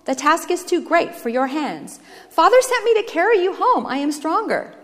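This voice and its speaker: A woman voicing a patient, loving eldest brother, a gentle and earnest rescuer who pleads. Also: very high voice, animated, normal speed, big-room sound, pitched above the performer's own voice. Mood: earnest, compassionate.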